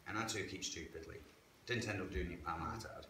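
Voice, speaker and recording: A man's voice: low voice, a little fast. A man tells his life story frankly and plainly, using local slang. He is seated in a church hall and recorded by a camera some distance away. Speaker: guest sharing life story. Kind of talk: candid personal testimony. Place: church hall, indoors, medium-sized. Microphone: far camera. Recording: echoey hall with background hiss.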